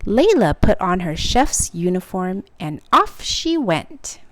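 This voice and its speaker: A woman's voice: mid-range voice, big pitch swings, slow pace, dry recording. A woman reading a children's picture book aloud expressively, drawing out key words for dramatic emphasis. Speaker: storytime reader.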